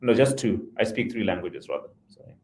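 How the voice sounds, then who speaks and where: A man's voice: fairly low pitch, fairly quick, darkish tone. A man from Zimbabwe, a podcast guest, talking in a rambling, self-correcting way with frequent chuckles. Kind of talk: casual conversational interview.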